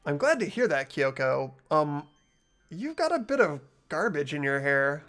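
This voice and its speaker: Guy doing a determined teen boy from a visual novel, earnest n animated, music behind. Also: a little high, measured pace. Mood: relieved, surprised. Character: earnest, determined teenage boy narrator-hero.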